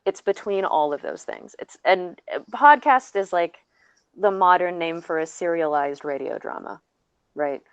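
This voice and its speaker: Brief: female panelist with a lowish voice, explanatory and halting, close dry sound, slightly muffled. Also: clear, resonant tone, dry recording. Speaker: audio producer on a panel. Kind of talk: casual panel talk.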